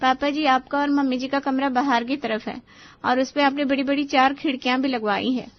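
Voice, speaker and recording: A woman's voice: high voice, steady tone, fairly quick, no room sound, dull audio. A woman voicing an exasperated, overworked young daughter-in-law, whiny and pleading, in a Hindi cartoon story. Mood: complaining.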